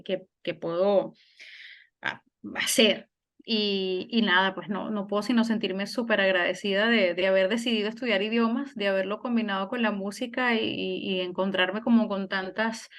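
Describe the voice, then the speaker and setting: A woman's voice: medium pitch, fairly bright tone. A middle-aged woman from Venezuela, a singer and German teacher, talking thoughtfully in an interview with frequent restarts. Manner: reflective, many restarts and fillers. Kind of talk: conversational interview.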